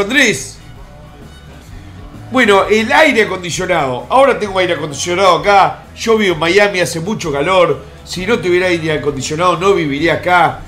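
A man's voice: high voice, fairly bright tone. A middle-aged man, hosting a live stream from home and rambling through a countdown with vulgar jokes and asides. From born in the United States. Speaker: streamer host. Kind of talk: crude comic countdown commentary. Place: home room in Miami.

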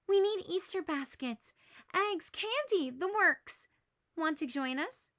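Woman as a crabby little girl, pushy, emphatic, high-pitched, bright storybook voice. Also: expressive, takes it slowly, full character voice. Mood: brisk.

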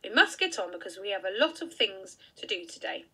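A woman voicing a busy, kindly aunt in a children's story, speaking briskly and kindly. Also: medium pitch, sing-song, sharp tone, husky, exaggerated voice.

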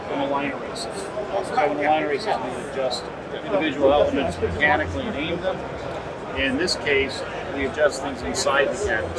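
Man explaining over heavy hall noise. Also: medium pitch. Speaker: company technical product representative. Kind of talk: explanatory product demonstration. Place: busy indoor convention hall booth. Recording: loud trade show hall, distant mic. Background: loud exhibition hall ambience.

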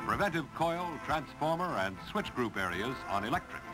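An American man reading scripted 1950s instructional film narration in a clear, measured delivery. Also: medium pitch, big pitch swings, close to an everyday voice, low-quality recording. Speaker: narrator of a railroad training film. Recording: 1950s film soundtrack with music behind.

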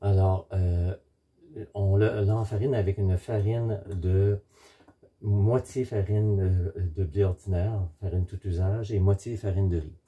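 A middle-aged man giving a step-by-step cooking demonstration in a home kitchen, explaining in short, halting instructional phrases. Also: low voice, steady tone, dark tone, breathy edge. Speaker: home baker presenting a recipe.

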